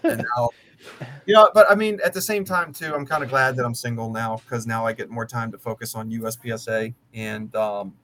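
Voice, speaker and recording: A man's voice: mid-range voice, fairly quick, fairly bright tone, a little hiss or hum. Blunt, talkative American man, around thirty. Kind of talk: casual chat.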